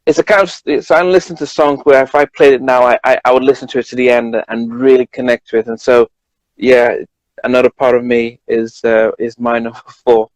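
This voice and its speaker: A man with a British accent in a casual conversation, halting and repeating words as he finds his point. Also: mid-range voice, little pitch movement, dry recording, low-quality recording. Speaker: journalist co-host. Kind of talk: casual chat.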